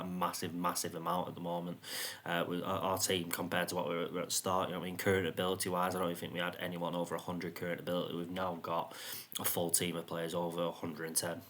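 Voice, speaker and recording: A man with a self-described monotone voice, casually commenting on his football management game, rambling with plenty of ums. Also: deep voice. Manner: rambling, frequent ums and "you know what I mean". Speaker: gaming YouTuber.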